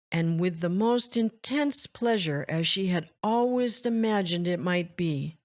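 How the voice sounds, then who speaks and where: Casting: woman narrator for children's picture books, slightly low voice, very measured delivery, expressive, over music. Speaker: storytime host, children's book reader. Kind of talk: expressive scripted read-aloud narration for children.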